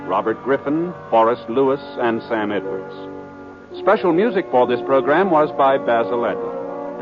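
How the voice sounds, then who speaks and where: A formal male radio announcer with a polished, measured delivery. The sound is dull and lo-fi, like an old transcription, and theme music plays loudly behind him. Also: mid-range voice.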